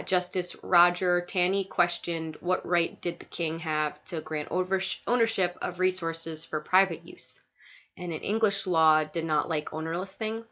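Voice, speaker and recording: A young woman delivers a recorded slide lecture in a fairly low voice. She is sick, so her tone is stuffy and nasal. She works through lists of points steadily, with small fillers between them. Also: fairly bright tone. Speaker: student lecturer.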